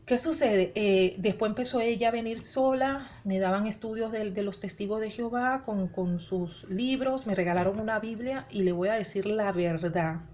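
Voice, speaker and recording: A woman's voice: medium pitch, moderate pace, few pauses, darkish tone, a little hiss or hum. Woman pastor giving testimony. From born in the Dominican Republic, raised in Venezuela. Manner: storytelling, acts out her own inner dialogue; rhetorical questions to the listener. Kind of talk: personal testimony.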